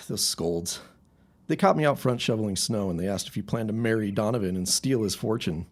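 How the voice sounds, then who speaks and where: Gravelly, teasing young brother. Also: male voice, fairly low pitch, normal speed. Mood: teasing, prying. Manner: blunt, needling. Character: teasing, nosy young brother working in family store. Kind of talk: period radio-style audio drama acting.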